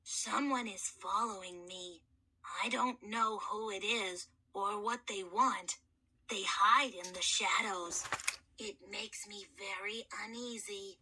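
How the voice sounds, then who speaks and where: Woman putting on a hoarse boy mummy, ancient, timid, courtly, soft and low, quiet. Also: fairly even intonation, slow, slight background noise, low-quality recording. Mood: timid, polite. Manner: formal, courtly, soft-spoken. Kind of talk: audiobook reading.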